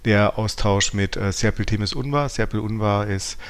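A man's voice: on the low side, moderate pace, smooth. A man hosting a podcast, talking reflectively and searching for his words as he thinks aloud. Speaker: podcast host. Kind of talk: reflective conversational discussion.

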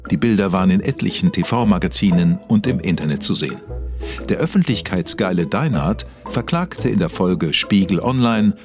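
Grave male true-crime narrator, very low voice, deadpan, scripted, music underneath. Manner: solemn, deadpan. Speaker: podcast narrator. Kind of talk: scripted mock-documentary narration.

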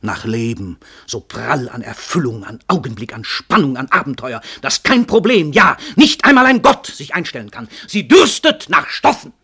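Radio play casting: a cynical, domineering celebrity writer-killer, emphatic orator, slightly rough tone, close dry sound. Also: male voice, medium pitch, very animated, normal speed, few pauses, pushed and bright, dry recording, heavily acted. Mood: impassioned. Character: world-famous male crime writer, arrogant, cynical confessed murderer. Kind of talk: theatrical German radio drama monologue.

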